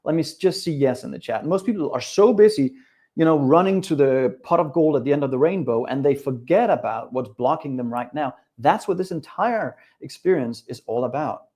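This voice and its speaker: A man's voice: a little high, expressive, a little fast, darkish tone. Danish-accented man coaching a live webinar. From Denmark. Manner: upbeat, direct, addresses viewers and chat.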